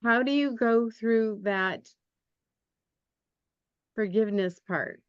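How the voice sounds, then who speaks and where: Woman podcast host, measured gentle questions, slightly dull remote recording, dry. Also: fairly high pitch, clear, resonant tone, no room sound. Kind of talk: interviewing a guest.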